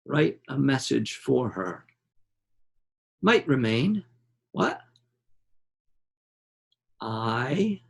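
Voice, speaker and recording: A man reading a literary novel aloud in a measured, dramatic way, with deliberate pauses between short phrases. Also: mid-range voice, sing-song, creaky, husky.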